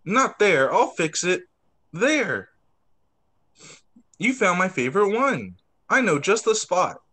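A man voicing an eager little boy playing with toy trains in a picture book, excited and simple in his delivery. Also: high-pitched, slow, slightly rough, full character voice. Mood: excited, bossy.

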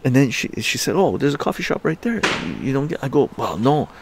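An older man from Canada, livestreaming from his outdoor patio, telling a story in an animated, laughing way with crude language. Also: quick pace, noisy background. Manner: animated, laughing, swearing, acts out quotes in higher voices. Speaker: livestream host. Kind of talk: casual storytelling to a live chat.

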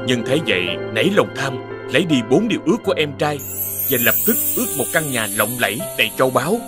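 A man giving scripted storybook narration for an animated fairy tale. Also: medium pitch, quick pace, natural voice. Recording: music playing behind the voice.

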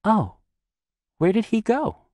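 A man reading a story and voicing a curious, chatty little girl in high, excited calls. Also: takes it slowly, no echo, full character voice.

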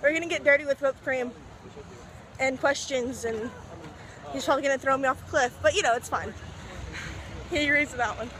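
A teenage girl chatting to her phone camera in a casual, giggly vlog, with a raspy voice after a game. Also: high voice, brisk, pauses often, fairly bright tone, rough recording. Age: teens. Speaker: vlogger. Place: outdoors by a road, then a classroom, then a car at night. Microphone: phone held at arm's length.

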